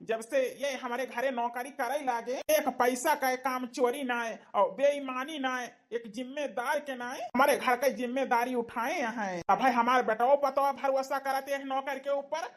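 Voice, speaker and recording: A woman's voice: sits high, normal speed, hardly any pauses, close to an everyday voice. A woman voicing a fond, fussing elderly village father-in-law with a folksy Awadhi accent in a cartoon comedy. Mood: fond, proud. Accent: Awadhi, eastern Uttar Pradesh.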